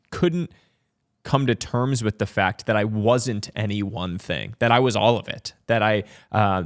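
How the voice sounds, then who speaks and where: A man's voice: lowish voice, no echo, studio quality. A man from Massachusetts, a podcast guest, thoughtfully telling stories about his early life, with frequent "um" and "like" fillers. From Massachusetts, USA. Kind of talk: reflective interview storytelling.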